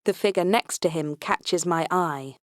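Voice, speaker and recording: A woman's voice: lowish voice, unhurried, no echo, studio quality. A woman reading a science fiction comedy audiobook aloud in the first person, with a wry, tongue-in-cheek delivery. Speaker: audiobook narrator.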